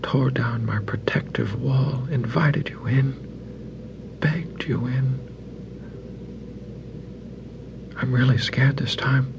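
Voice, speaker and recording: A moody, over-romantic man voicing his letters in an audio drama. He is earnest and measured, taking his time over each phrase. His voice is gravelly and husky, captured a little muffled. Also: medium pitch, fairly even intonation, background noise. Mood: desperate, pleading.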